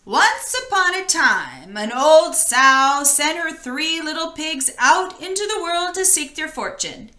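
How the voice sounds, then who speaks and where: A woman's voice: high-pitched, slow, hardly any pauses. A woman reading a children's story aloud expressively, speaking straight to her listeners, in an echoey room. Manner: animated, addresses listeners directly. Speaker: storybook reader.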